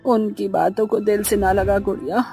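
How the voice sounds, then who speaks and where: A man's voice: high voice, moderate pace, warm tone, faint music behind, voice pushed up. A man voicing a kind mother comforting her daughter in a cartoon story, soothing.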